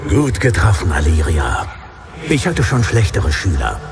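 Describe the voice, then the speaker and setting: An actor playing an impatient, pitiless being of pure energy in a fantasy audiobook, commanding and sardonic. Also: male voice, low voice, wildly swooping pitch, unhurried, music in the background, heavily acted. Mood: dry approval.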